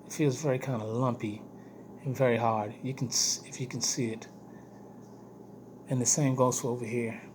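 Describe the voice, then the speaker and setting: A man with a hoarse, weak voice giving a casual video diary update, speaking haltingly and repeating phrases for emphasis. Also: medium pitch, steady tone. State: hoarse, weak voice after throat surgery. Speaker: vlogger giving health updates. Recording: noticeable background noise.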